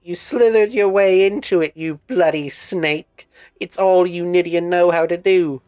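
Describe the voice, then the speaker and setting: A man voicing a brash, scornful rival songstress from a game, taunting loudly and drawing out his words. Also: high voice, no room sound, faint music behind, pitched above the performer's own voice. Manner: loud, jeering, drawn-out taunts.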